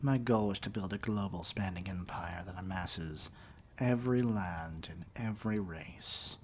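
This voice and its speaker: Slow, pompous evil king played by a man. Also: deep voice, a little hiss or hum. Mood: scheming disdain. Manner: pompous, deliberate, dripping with disdain. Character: haughty, menacing evil king dictating to a servant. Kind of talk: theatrical ASMR roleplay monologue.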